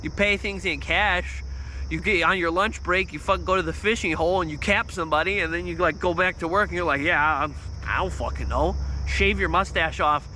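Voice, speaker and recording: A man in his thirties with a squeaky, nasal voice, telling a story in a loose, swearing livestream ramble. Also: steady tone, fairly quick, sharp tone, slight background noise, low-quality recording. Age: 30s. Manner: swears freely, restarts often, volume spikes. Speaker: vlogger on a livestream.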